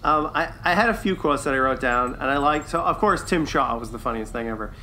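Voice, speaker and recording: A man's voice: mid-range voice, animated, pushed and bright, a little hiss or hum. A man co-hosting a review podcast, chatting casually and enthusiastically as a fan. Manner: enthusiastic, quick to chuckle.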